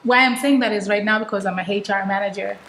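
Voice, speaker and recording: A woman's voice: mid-range voice, steady tone, quick pace, a bit bright, echoey room, slight background noise. A woman from Tanzania, an HR manager, talking animatedly as a guest and sometimes searching for words. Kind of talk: casual interview.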